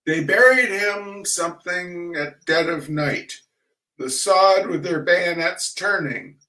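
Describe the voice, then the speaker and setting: Slow-reading man in an echoey room. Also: on the high side, fairly bright tone. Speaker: reader of humorous essays. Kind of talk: dramatic reading of comic essays aloud. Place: echoey room, recorded at a distance from the mic. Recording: echoey room, distant microphone.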